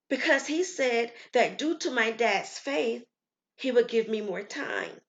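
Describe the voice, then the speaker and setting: A woman voicing an earnest, innocent daughter in an audio story, halting and full of childlike wonder. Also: fairly high pitch, a bit bright, highs cut off, pitched above the performer's own voice, full character voice.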